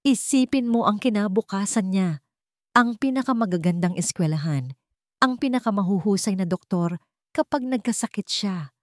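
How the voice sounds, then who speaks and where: A woman's voice: mid-range voice, expressive, normal speed, no room sound, studio quality, close to an everyday voice. A Filipino woman voicing a remorseful, wealthy young father in a storybook drama, earnest and imploring. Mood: earnest, pleading.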